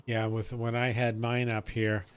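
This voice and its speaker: A man's voice: mid-range voice, completely flat delivery, unhurried, clean tone. A man talking casually as an amateur radio operator in a technical chat.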